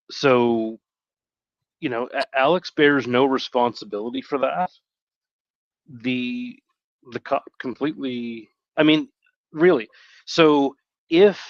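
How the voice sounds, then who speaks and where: A man with a mid-pitched voice talks casually on a live stream. He is halting and rambling, often correcting himself as he goes. The sound is dry and a little dull and lo-fi. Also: dry recording.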